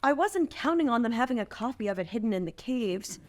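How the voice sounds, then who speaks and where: A woman playing a calm, shrewd princess in a fantasy screenplay table read, speaking formally and diplomatically. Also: a little high, fairly quick, lightly acted. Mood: weary, wry. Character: calm, stoic, shrewd young princess bargaining with captors.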